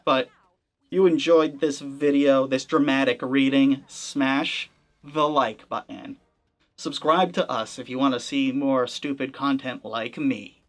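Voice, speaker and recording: A man's voice: medium pitch, fairly even intonation, slow pace. Young male gaming host, casual to-camera commentary, skeptical and wry, mid-range voice. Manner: conversational, skeptical, wry asides. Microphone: camera-facing setup.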